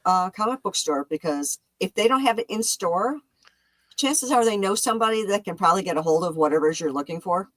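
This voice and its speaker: A woman's voice: mid-range voice, animated, fairly quick, fairly bright tone, no echo, rough recording. A woman talking casually as a panelist on a livestream about comic books.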